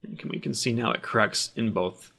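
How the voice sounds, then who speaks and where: A man with a mid-pitched voice narrates a software tutorial as the instructor. He talks plainly and practically, explaining each action step by step as he performs it. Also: expressive, a little fast, gravelly, breathy edge. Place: voice-over of a screen recording.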